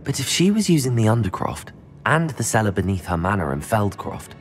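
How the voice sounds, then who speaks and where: A man acting as a level-headed, polite young student wizard hero in a video game, measured and formal. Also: on the low side, big pitch swings. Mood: composed, earnest. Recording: music behind the voice.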